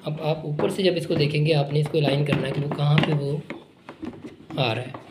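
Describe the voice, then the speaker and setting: A man casually explaining, step by step, how to unbox and assemble a product. He talks in a relaxed, repetitive way while working with his hands. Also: on the high side, moderate pace. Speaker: online shop product demonstrator. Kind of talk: unscripted unboxing and assembly walkthrough. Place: indoor room with a table. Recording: echoey room with noticeable background noise.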